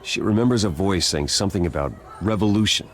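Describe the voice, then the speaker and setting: A man voicing a brooding, serious vigilante detective in a video game, terse and measured. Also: deep voice, lightly acted. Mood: grim, focused.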